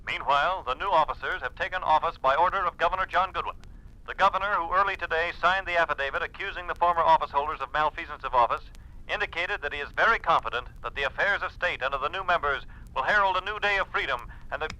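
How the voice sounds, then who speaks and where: A vintage radio newscaster delivering official propaganda. The voice is very sharp and forceful, fairly high, and rattles along briskly. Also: male voice, poor audio. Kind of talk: 1940s-50s radio news reading.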